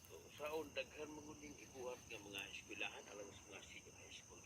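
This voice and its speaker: A man's voice: mid-range voice, fairly quick, very noisy, close to an everyday voice. A man playing a subdued, resigned working father in a radio drama, speaking plainly with a Cebuano Filipino accent. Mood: troubled, resigned. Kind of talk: Philippine radio drama acting.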